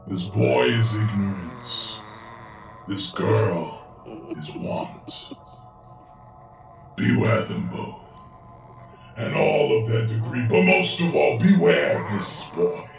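Audio drama spirit, aging and dying ghost: grave menace, very slow, distant and echoing. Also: male voice, on the low side, expressive, creaky, soft background music, exaggerated voice. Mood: ominous warning. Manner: slow, ominous, drawn-out pronouncements.

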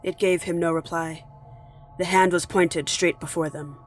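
A man narrating a dramatic story in a measured, solemn manner. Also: a little high, steady tone, faint music behind, lightly acted.

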